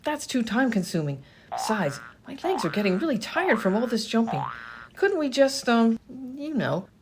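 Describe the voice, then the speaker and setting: A woman playing a brisk, sarcastic, impatient adventurer in a comedy radio play. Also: fairly high pitch, very animated, background noise. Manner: impatient, pointed questioning.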